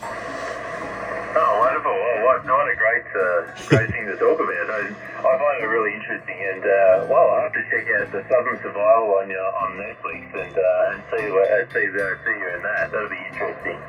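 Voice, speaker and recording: Low-voiced Australian man over dull shortwave radio. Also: moderate pace, music in the background. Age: middle-aged. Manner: friendly, hesitant. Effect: heard over amateur radio. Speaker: firefighter and amateur radio operator. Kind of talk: casual radio chat. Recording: dull band-limited shortwave radio transmission.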